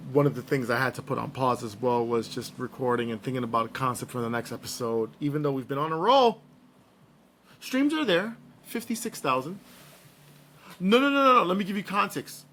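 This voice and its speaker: A man hosting a casual podcast, slightly hoarse, playful and joking as he gives shout-outs and talks news. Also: mid-range voice, normal speed, a little hiss or hum. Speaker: podcast host.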